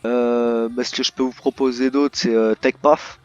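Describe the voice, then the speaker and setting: A man's voice: mid-range voice, little pitch movement, moderate pace, dry recording. A man improvising as a courteous bartender in a roleplay game, speaking politely and formally to customers. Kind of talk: improvised in-character customer service chat. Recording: dull lo-fi voice chat, music behind. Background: music.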